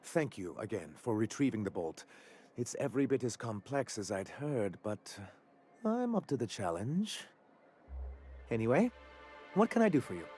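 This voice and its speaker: An actor playing an earnest, proud, bookish idealist in a video game, speaking formally and precisely. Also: male voice, mid-range voice, very animated, moderate pace, pauses often, a little mellow, soft background music, natural voice. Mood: grateful, keen. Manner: formal, precise, self-important.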